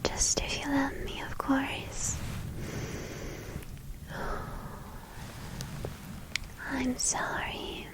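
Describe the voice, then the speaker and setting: A woman playing a shy, apologetic but hungry young vampire girl in an ASMR roleplay, speaking in a hesitant, intimate, breathy whisper. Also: high voice, completely flat delivery, slow. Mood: flustered, apologetic.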